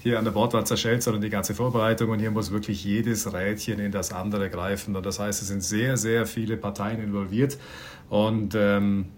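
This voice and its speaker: A middle-aged man from Austria, a ship captain, chatting in an interview while searching for words with many pauses and restarts. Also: on the low side, flat delivery, a little hiss or hum. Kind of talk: casual interview answers.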